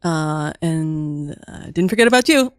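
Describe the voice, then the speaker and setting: Halting woman improvising comedy, dry sound. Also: low-pitched, expressive, no echo. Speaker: guest improv student.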